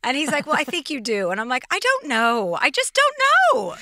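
A woman hosting a casual interview, joking brashly and telling quick stories. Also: high voice, wildly swooping pitch, bright, forceful tone, no echo, studio quality. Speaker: podcast host.

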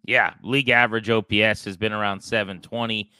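Bright, forceful male sports podcast host. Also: fairly low pitch, steady tone, fairly quick, clean tone, no room sound, clean studio sound. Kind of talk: animated sports talk with a co-host.

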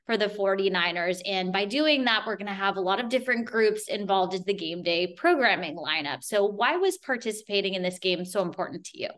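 A woman's voice: medium pitch, animated, fairly quick, few pauses, fairly bright tone. A woman hosting a podcast, putting prepared interview questions in a conversational way.

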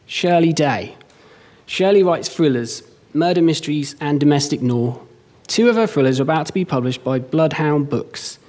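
A man's voice: on the high side, a little mellow. Man introducing a writer on stage. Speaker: event host introducing writers. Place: on stage at a live showcase event in a hall.

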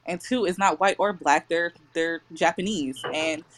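A woman's voice: on the low side, unhurried, a little hiss or hum. Emphatic woman in a group chat. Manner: emphatic, argues her point.

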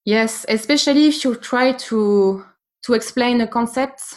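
A woman with a French accent talks earnestly in a fairly high voice. She is a painting teacher and sometimes pauses to search for the right English word. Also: a little mellow. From France. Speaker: art teacher, guest. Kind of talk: casual conversation.

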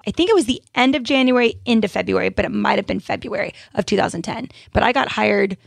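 A woman's voice: mid-range voice, fast, vocal fry, no room sound. A woman in her thirties hosting a podcast, chatting casually and laughing with slangy asides. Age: 30s. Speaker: podcast host. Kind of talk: casual chat.

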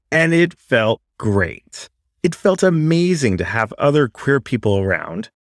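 A man reading a first-person story aloud as an audiobook narrator, in a reflective, confessional way with deliberate pauses. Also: medium pitch, no room sound, clean studio sound. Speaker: story reader.

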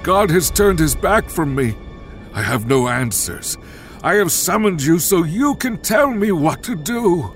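An actor playing a desperate, frightened king in a dramatized Bible story, halting and trembling. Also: sits low, creaky, faint music behind. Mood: desperate pleading. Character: anguished, desperate, frightened king seeking guidance.

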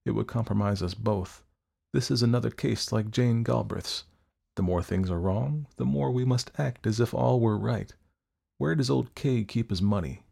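A man's voice: medium pitch, moderate pace, creaky, breathy edge. Man playing a cool, cynical young villain. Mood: businesslike pressure. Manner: calm, controlled, coaxing and worldly. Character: cool, cynical young doctor, a persuasive murderer.

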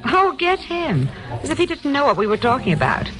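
An actress playing a sassy, sarcastic young sidekick in a 1950s radio drama. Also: female voice, high-pitched, huge pitch swings, a little fast, low-quality recording. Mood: sassy, coaxing. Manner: sarcastic, theatrical. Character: sassy, teasing glamorous young woman, detective's sidekick.

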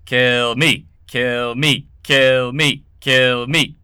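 An actor playing a wounded, defiant male hostage in an audio drama, sardonic and shouting in pain. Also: mid-range voice, steady tone, drawn-out words, smooth, no room sound, studio quality. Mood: agonised, panicked.

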